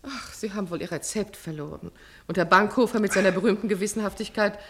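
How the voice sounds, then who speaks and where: An actress playing a kindly, resolute woman in a 1950s German radio play, speaking briskly and persuasively. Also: mid-range voice, close to an everyday voice. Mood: brisk, firm. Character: kindly, resolute woman, plain-spoken and eager to help. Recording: vintage radio recording, faint noise.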